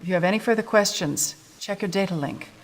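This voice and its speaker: A no-nonsense resistance officer in a video game played by a woman. Her voice sits at a middle pitch, and she delivers mission briefings with serious, clipped, businesslike control. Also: fast talker, natural voice. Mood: grave, businesslike. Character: stern, businesslike female military resistance officer.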